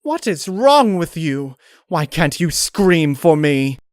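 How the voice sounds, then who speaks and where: A man voicing a deranged, sadistic female pony in a horror story, shrill and pleading in frustrated, tearful rage. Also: very animated, slow, no room sound, studio quality. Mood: angry, demanding.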